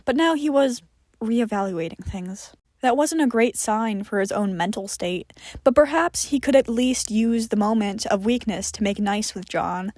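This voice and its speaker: High-voiced woman reading a story, dry close sound. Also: darkish tone, no echo. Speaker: fan fiction narrator. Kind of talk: scripted narration of a story.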